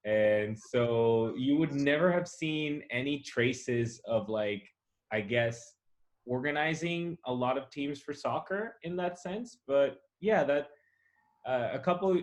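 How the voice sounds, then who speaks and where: A man from a childhood split between the US, Chile and China, answering interview questions in a casual, explanatory, reflective way. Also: mid-range voice. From born in Chile, raised in the US, Chile and China. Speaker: interviewee, soccer club founder. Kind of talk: casual personal storytelling.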